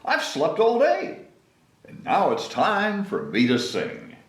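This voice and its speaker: A man's voice: fairly high pitch, huge pitch swings, slow, pitched above the performer's own voice, full character voice. A man voicing a cheerful baby songbird in a sing-song, repetitive way for a children's picture book. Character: chirpy, tireless baby songbird, male. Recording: echoey room.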